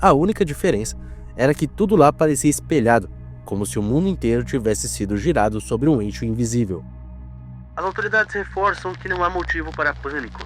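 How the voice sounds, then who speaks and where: A man with a Brazilian accent narrating a scripted horror mock documentary in a solemn, measured, dramatic manner. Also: fairly high pitch, lively intonation, soft background music.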